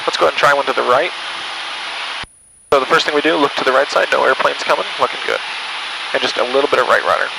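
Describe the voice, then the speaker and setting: A man instructs from a small airplane cockpit in a quick, slightly fried voice. He is reassuring and casual as he coaches step by step. The headset intercom carries a clear engine drone behind him. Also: mid-range voice, noisy background. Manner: encouraging, reassuring. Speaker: flight instructor. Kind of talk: hands-on instruction with casual talk. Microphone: aviation headset intercom.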